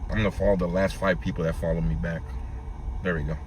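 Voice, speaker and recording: Man, very deep voice, rambling casual stream chat, hum of air conditioning and traffic behind. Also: steady tone, fast, darkish tone. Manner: rambling, easygoing, chats with viewers by name. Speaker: live stream host. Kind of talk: casual chat with live chat viewers.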